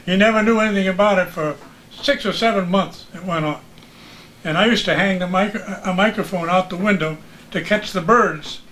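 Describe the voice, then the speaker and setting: American guy with a high voice just telling old radio stories, little bit of noise. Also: fairly bright tone, poor audio. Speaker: veteran broadcaster, guest. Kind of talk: casual storytelling.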